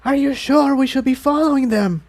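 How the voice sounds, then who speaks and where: Man doing a anxious female pony, high-pitched, hushed, grumbling, wary, fantasy storybook. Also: normal speed. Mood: anxious, whispering.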